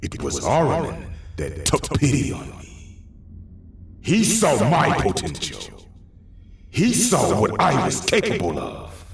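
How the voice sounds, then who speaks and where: An actor in an audio drama playing a vengeful, embittered burned man turned monster, speaking in a slow, menacing, deliberate way. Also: medium pitch, creaky, exaggerated voice. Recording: very echoey, distant, faintly noisy.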